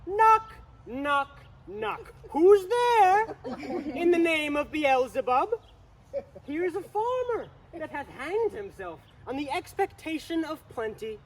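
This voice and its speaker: A man's voice: very high-pitched, big pitch swings, takes it slowly, voice pushed up, exaggerated voice. A young man playing a rambling, grumbling porter at the gates of hell in a dramatic Shakespeare reading, recorded outdoors. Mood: mocking, riled. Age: teenager. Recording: outdoor recording with traffic noise.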